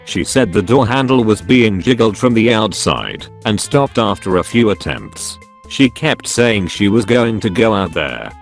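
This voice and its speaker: Guy narrating reddit style stories, kinda low voice, moves around a lot in pitch, bit of music. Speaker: narrator reading online forum posts. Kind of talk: scripted narration of first-person stories.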